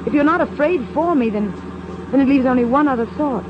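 A woman acting a sharp-witted, direct, suspicious young society woman in a 1950s radio drama. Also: high voice, animated, brisk. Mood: wary, probing. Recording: muffled, noisy 1950s radio recording.

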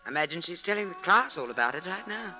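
An actress playing a dutiful, quietly anxious wife, giving brief, restrained replies in a 1950s radio drama. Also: female voice, on the low side, very animated, fast, over music, low-quality recording. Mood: dutiful, practical.

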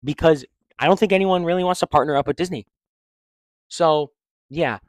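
A young man in a living room, rambling excitedly in slangy casual talk into a handheld mic and restarting his sentences. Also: a little high, moderate pace, no echo, clean studio sound. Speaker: vlogger. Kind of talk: casual opinion vlog. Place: living room, seated in armchair. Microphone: handheld mic held close.

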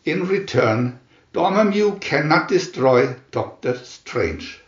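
A man's voice: on the high side, animated, lo-fi sound. Male narrator for comic-book tale: theatrical, swooping delivery, echoey room. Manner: theatrical, measured. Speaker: narrator reading a picture booklet. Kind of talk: dramatic scripted storybook narration.

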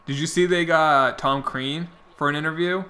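Bright-voiced young man chatting while gaming. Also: a little high, fairly even intonation, moderate pace. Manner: rambling, talks to chat viewers by name. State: losing his voice. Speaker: game streamer. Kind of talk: casual chat while playing.

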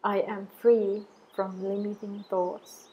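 A woman guiding an affirmation meditation in a soothing, measured way, with long pauses between deliberate phrases. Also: mid-range voice, little pitch movement, mellow, husky. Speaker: meditation guide.

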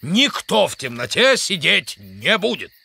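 A worldly old cartoon crow. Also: male voice, high voice, wildly swooping pitch, measured pace, creaky, heavy background noise, full character voice. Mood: reassuring. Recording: studio dub with loud music.

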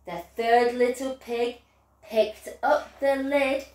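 A woman's voice: sits high, fairly even intonation, takes it slowly, a little mellow. A woman reading a children's story in a sing-song, emphatic way, as if to young children. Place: small living room, indoors. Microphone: distant camera. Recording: roomy echo, far from the mic.

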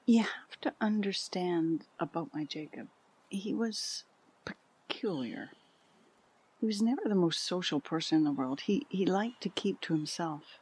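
An actress playing a candid, troubled middle-aged mother in an audio drama, speaking with a husky voice. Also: on the low side, expressive, a little slow, a little mellow. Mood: hesitant, troubled. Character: candid, troubled mother confiding about her peculiar son. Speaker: interviewee.

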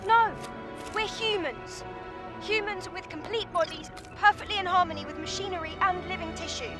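A woman's voice: extremely high pitch, normal speed, music in the background. An actress playing an earnest, emotional young female android warrior in a video game. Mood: indignant. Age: young adult. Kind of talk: video game cutscene acting.

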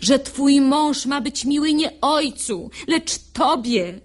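A sharp-tongued young maidservant in a classical verse radio play. Her voice is high and very lively, rising and falling with theatrical sarcasm. She articulates every bold, mocking line crisply. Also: slow pace. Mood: urging, scolding.

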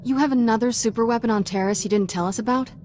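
An actress playing a plucky young Jedi apprentice in a video game cutscene, wry and irreverent. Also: female voice, a little high, fast talker, darkish tone, a little hiss or hum, lightly acted.

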